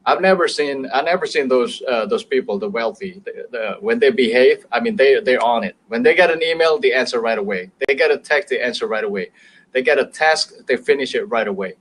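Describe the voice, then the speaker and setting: A middle-aged man with an Indonesian accent speaks in a mid-pitched voice on a business talk show. He pauses often, fills gaps and restarts words, with an occasional chuckle. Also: animated, fairly bright tone, poor audio. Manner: hesitant, many fillers and restarts, chuckles. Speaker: business show guest expert.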